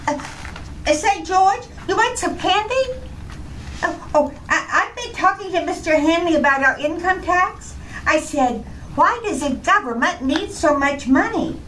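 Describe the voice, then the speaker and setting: A scatterbrained, cheerful wife acted by a woman in an old-time radio comedy. Her high voice lilts and swoops with naive earnestness, over some background noise. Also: lively intonation, measured pace, fairly bright tone, heavily acted, low-quality recording. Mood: innocent, chatty.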